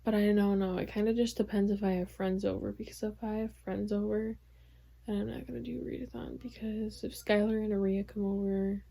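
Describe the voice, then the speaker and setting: A young woman vlogging casually about her reading, rambling with lots of fillers, her voice going hoarse. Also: mid-range voice, level tone, brisk. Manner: rambling, filler-heavy, soft-spoken. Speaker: book vlogger. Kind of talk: casual vlog chat.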